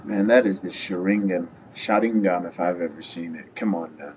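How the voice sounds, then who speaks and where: A man's voice: sits low, moderate pace, a little mellow, dull audio, a little hiss or hum. A man chatting informally and rambling as he reacts to a video game. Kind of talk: casual chat commentary.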